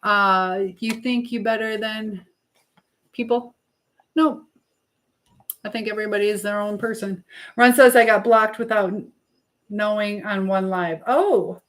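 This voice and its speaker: A woman talks casually as the host of a live stream, at a middling pitch. She rambles from one thing to the next, breaking off to read out and answer comments from viewers. It is loose, everyday conversation with no script. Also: steady tone, a little slow, pauses often, fairly bright tone. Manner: rambling, hopping between topics and replies.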